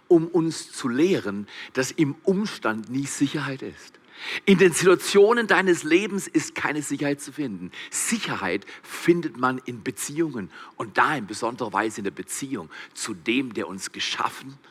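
A man's voice: mid-range voice, bright, forceful tone, breathy edge. A man, the church's pastor, welcoming the congregation and introducing the guest speaker with emphatic, rising enthusiasm. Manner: emphatic, rising to fervent calls. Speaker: pastor hosting the service.

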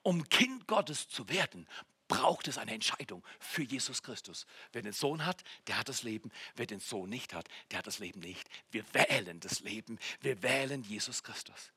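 A man in his forties preaching a sermon in church, jumping from loud exclamations to hushed near-whispers and speaking straight to the congregation. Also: medium pitch, animated, moderate pace, slightly rough, dry recording. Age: 40s. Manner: addresses the congregation directly with jokes and questions. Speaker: pastor.

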